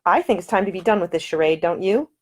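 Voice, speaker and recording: A woman voicing a spirited young woman traveler who talks in a brisk, quick-witted way. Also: fairly low pitch, animated, a little mellow.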